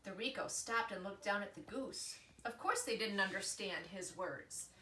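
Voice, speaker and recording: A woman reading a children's book aloud in an expressive storytelling style. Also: medium pitch, normal speed. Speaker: reader, storyteller. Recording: echoey room with faint noise.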